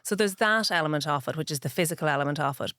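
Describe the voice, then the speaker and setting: A woman's voice: deep voice, very fast, a bit bright, dry recording. A middle-aged woman with a Northern Irish accent, speaking frankly and in detail as an interview guest. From Ireland. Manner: frank and straight-talking, explains in detail. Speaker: podcast guest, pharmacist. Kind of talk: conversational interview.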